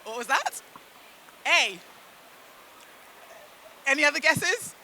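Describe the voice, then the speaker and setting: Bright, very high-pitched woman giving a talk. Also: big pitch swings, slow pace, slight background noise. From United Kingdom and Zimbabwe. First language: Shona. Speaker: keynote speaker at a business event. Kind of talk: motivational storytelling talk to an audience.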